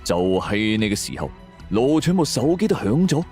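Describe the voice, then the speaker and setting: A man's voice: lowish voice, sing-song, moderate pace, a little mellow. A man with a Cantonese accent narrating a suspense story in the first person, in a dramatic scripted style. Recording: music bed under the voice.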